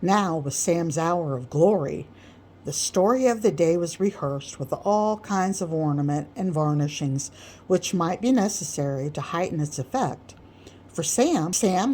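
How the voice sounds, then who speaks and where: A woman narrating a literary story aloud as an audiobook reader, with measured, deliberate phrasing. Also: fairly low pitch, dark tone, a little hiss or hum.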